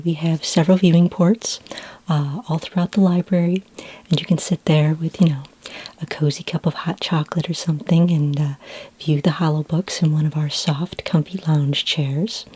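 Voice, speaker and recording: Soft breathy, low-pitched librarian played by a woman. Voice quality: soft, breathy, half-whispered. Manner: gentle, hesitant, unhurried, helpful. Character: friendly, helpful female futuristic library clerk for aliens. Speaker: ASMR roleplay performer. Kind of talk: soft-spoken ASMR roleplay.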